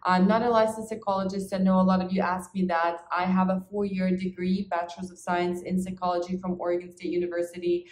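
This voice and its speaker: A woman's voice: on the low side, level tone, quick pace, hardly any pauses, darkish tone. A woman, talking earnestly and emphatically to camera as a relationship coach. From Ukraine. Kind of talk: talk to camera introducing herself to clients. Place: medium-sized living room indoors. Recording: echoey reverberant living room.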